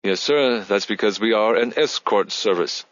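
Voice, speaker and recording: A man voicing a smooth, courteous but wary Spanish male boss of an escort agency, with formal salesman's phrasing. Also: on the low side, moderate pace, fairly bright tone, no room sound, lo-fi sound, natural voice. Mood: cool, formal.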